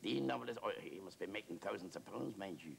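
A man's voice: low-pitched, lively intonation, fast, vocal fry, noisy background, heavily acted. A man playing a naive, talkative working-class warder who rambles deferentially in a theatrical monologue. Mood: eager, chatty. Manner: rambling, hesitant, repetitive, with deferential address.

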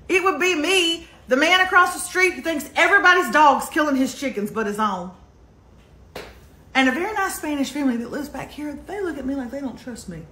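A woman's voice: high-pitched, pushed and bright. A middle-aged American woman giving a motivational talk to camera, emphatic and dramatic with sarcastic humor. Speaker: online show host and relationship coach. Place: home kitchen, indoors. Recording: roomy, echoey kitchen sound.

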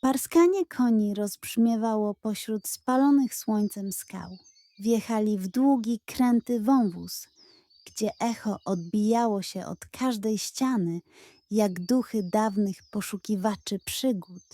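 A woman narrating a children's audio story in a dramatic, measured storytelling manner. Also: mid-range voice, dark tone, no echo.

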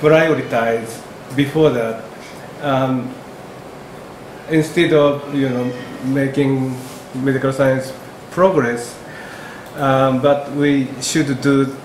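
Slow guy in his 50s, lots of ums and restarts, echoey seminar room with noise behind. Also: medium pitch, fairly even intonation. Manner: halting, with many fillers and restarts. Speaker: medical ethics presenter. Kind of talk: academic discussion in English as a second language. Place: seminar room with a projector screen.

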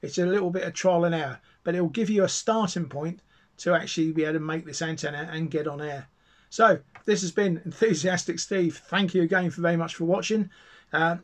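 A middle-aged man with a British accent giving a casual, enthusiastic, rambling explanation as a hobbyist video host. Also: on the high side. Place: small cluttered home radio room, indoors.

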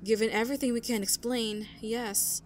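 A woman's voice: on the high side, steady tone, moderate pace, slight background noise, close to an everyday voice. A woman playing a shaken, adamant sailor who survived a voyage, in a fantasy audio drama. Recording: faint tavern ambience behind.

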